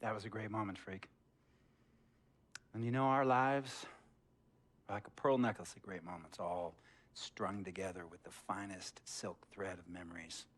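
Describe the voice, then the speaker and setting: Eccentric wealthy boss, middle-aged, controlling and slick, theatrical storyteller for a game cutscene. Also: male voice, fairly low pitch, steady tone, normal speed, pauses often. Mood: pompous, measured. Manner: theatrical, storytelling, swinging from hushed to emphatic. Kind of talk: dramatic game cutscene monologue.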